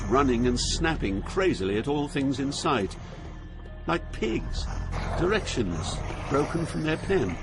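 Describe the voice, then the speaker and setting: A man giving a scripted dramatic narration as storyteller, solemn and theatrical, with measured phrasing. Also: medium pitch.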